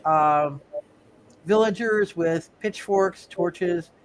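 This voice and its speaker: Male game master, high voice, casual halting narration with repeated phrases, slightly noisy recording. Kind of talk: live tabletop roleplay narration, casual.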